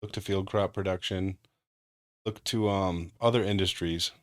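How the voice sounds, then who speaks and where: A man answering interview questions in a measured, explanatory way. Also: low-pitched, fairly even intonation, dry recording, studio quality. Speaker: guest, cannabis grower.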